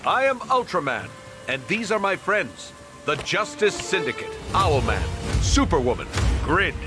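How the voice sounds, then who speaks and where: A man's voice: high-pitched, very animated, a little slow. A man voicing a grandiose, falsely heroic superhero leader in a video game, pompous and declamatory. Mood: proud. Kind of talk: animated video game voice acting. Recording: loud music over dialogue.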